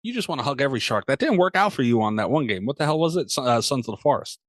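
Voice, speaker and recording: A man's voice: mid-range voice, sing-song, very fast, no room sound, clean studio sound. A man hosting a podcast, chatting casually and enthusiastically with plenty of swearing. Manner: enthusiastic, foul-mouthed. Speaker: podcast host. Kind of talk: casual profane banter.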